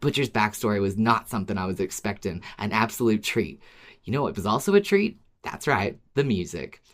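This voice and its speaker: This is a podcast co-host with an androgynous, medium-pitched voice. The delivery is casual fan talk, agreeable and conversational, whether discussing or reading submissions aloud. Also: animated, a little fast.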